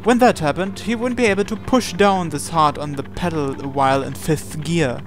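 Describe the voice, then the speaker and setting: A man's voice: on the high side, moderate pace, music in the background. A man reading visual novel narration aloud, sometimes stumbling over and repeating words as he goes. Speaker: video game player reading aloud.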